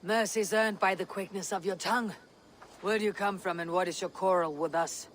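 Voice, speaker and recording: An actress playing a viking clan leader who speaks in a measured, authoritative way. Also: female voice, medium pitch, steady tone, slight background noise, lightly acted. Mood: commanding. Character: female viking clan leader, calm and commanding. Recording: game cutscene over soft music.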